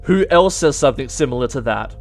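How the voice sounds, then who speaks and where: A man's voice: medium pitch, lively intonation, brisk, soft background music. A man hosting informal explanatory video game commentary, emphatic and stretching key words as he goes. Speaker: video game theory host.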